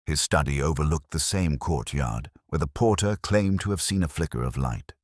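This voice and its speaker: Very deep husky male narrator. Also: expressive, dry recording, studio quality. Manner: measured, brooding, literary delivery. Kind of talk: scripted first-person detective narration.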